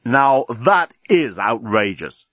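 A man's voice: medium pitch, big pitch swings, takes it slowly, a bit bright, dry recording, dull audio, heavily acted. A man voicing an indignant, blustering male traveller in a comic audiobook. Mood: blustering outrage.

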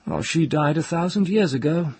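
Guy doing a cocky young wizard lad, coolly mocking, husky, close dry audiobook sound. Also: low voice, sing-song, normal speed, no echo, full character voice. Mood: gentle mockery. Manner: soft-spoken, mocking, self-assured. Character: proud, coolly mocking young boy sorcerer. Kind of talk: dramatized audiobook fantasy storytelling.

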